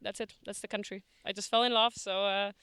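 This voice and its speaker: A woman's voice: mid-range voice, level tone, a little fast, a bit bright, smooth, no echo. A young woman from Poland, chatting casually in a street interview with frequent laughs and fillers.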